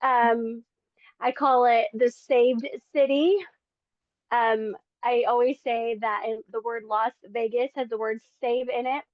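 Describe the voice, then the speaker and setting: A woman's voice: on the high side, level tone, takes it slowly, dry recording, dull audio. A woman in her forties from the Philippines, sharing her faith testimony as a podcast guest in earnest, chatty talk. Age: 40s. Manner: earnest, chatty, many fillers. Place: home in Las Vegas.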